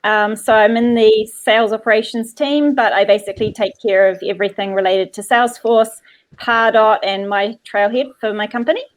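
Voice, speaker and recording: A woman's voice: mid-range voice, steady tone, moderate pace. A woman working in sales operations, introducing herself on a video call. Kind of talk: casual self-introduction.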